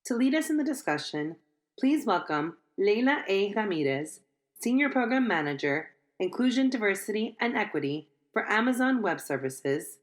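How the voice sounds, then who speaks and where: Female announcer, formal scripted intro, lively pitch. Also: moderate pace. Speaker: event announcer.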